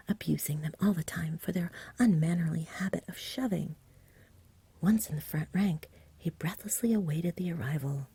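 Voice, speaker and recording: A woman softly reading a storybook in a hushed, breathy ASMR whisper with a gentle delivery. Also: on the low side, no room sound. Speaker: reader, ASMR host.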